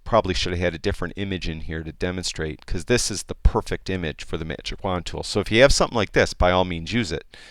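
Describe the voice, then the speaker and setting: A man explaining a photo editing program in a casual, rambling tutorial, with many fillers and restarts, and a voice that is getting hoarse. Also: deep voice, fast talker, hardly any pauses, a bit bright, no echo. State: losing his voice. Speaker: software tutorial instructor. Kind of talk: casual screen-recorded tutorial.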